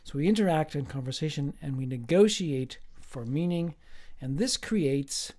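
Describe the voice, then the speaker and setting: A man, a professor, giving a slow, emphatic recorded lecture with playful asides. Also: a little high, animated, warm tone.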